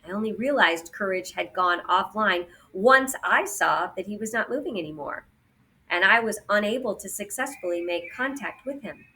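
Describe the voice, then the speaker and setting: A woman performs a stern robot for a storybook reading. The delivery is formal, measured and precise, with a bright edge. The robot is matter-of-fact and easily irritated. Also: mid-range voice, animated. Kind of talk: children's audiobook character voice.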